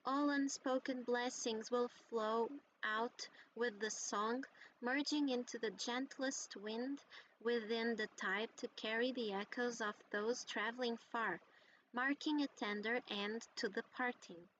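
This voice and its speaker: Serene game ritual guide: slow, fairly high, tender poetic recitation over faint ambience. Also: female voice, fairly even intonation, fairly bright tone, slight background noise, low-quality recording. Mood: tender, solemn. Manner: solemn, tender, measured. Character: serene female ritual guide blessing departing souls.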